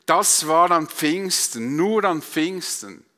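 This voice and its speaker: High, bright-voiced Swiss preacher in a hall. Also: male voice, lively intonation, slow. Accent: Swiss German. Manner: emphatic, rhetorical repetition and questions. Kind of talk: sermon to a congregation. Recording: long hall reverb.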